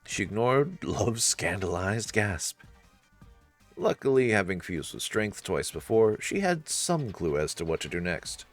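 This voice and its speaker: A man's voice: fairly low pitch, big pitch swings, a little slow, faint music behind. A man narrating a visual novel as a dramatic story, reading aloud for a let's play.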